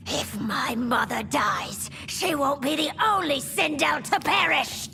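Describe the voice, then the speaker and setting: A woman's voice: sits high, slow, vocal fry, over music, exaggerated voice. A woman playing a fierce, determined warrior in a video game cutscene, speaking firmly and resolutely. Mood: furious. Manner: firm, resolute.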